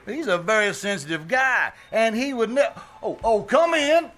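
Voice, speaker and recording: A man acts out a brash, good-natured 1940s bandleader husband in a live radio comedy. His voice sits high and swings widely in pitch. He is vain and folksy, puffing up his slang with grand words. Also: expressive, moderate pace, fairly bright tone, slight background noise. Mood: chatty, affable. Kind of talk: live re-enacted old-time radio comedy acting. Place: live on a small theater stage.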